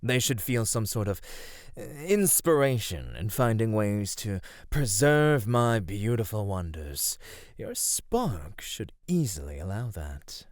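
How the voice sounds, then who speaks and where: A man's voice: on the low side, big pitch swings, slow, dry recording, clean studio sound. A man voicing a sly, flirty immortal god of beauty in an ASMR roleplay, teasing and coaxing without hurry.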